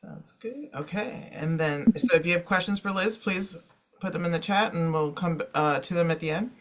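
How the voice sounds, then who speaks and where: A man presenting informally to an online panel as a moderator, explaining things in a halting, conversational way. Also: a little high, background noise. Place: online panel, speaking over slides.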